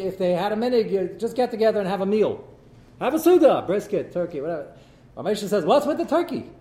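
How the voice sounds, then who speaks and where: A man teaching a Torah class in a lively way, reading out Hebrew texts and explaining them to students. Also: high voice, roomy sound. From United States.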